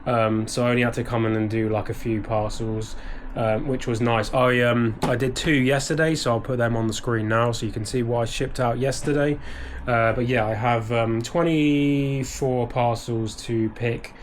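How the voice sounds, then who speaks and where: A British man chats to camera in a relaxed, rambling way, his nose still a bit stuffy and bunged up. His voice sits at an ordinary middle pitch and he fills pauses with soft ums. A faint hum of ambience hangs behind him. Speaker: eBay reseller vlogger. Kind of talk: casual vlog talk to camera. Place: small home office.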